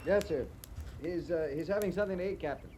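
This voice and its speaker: An actor playing an earnest, excitable junior cavalry officer with an American accent, hesitant and deferential, in an old-time radio western. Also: male voice, on the high side, fast talker, a little hiss or hum, natural voice. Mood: deferential, inquisitive.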